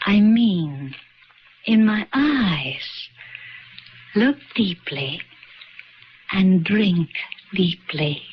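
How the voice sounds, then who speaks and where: Radio drama villainess: old enchantress posing as young, unhurried, coaxing, commanding, on a noisy vintage broadcast. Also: female voice, mid-range voice, expressive, highs cut off.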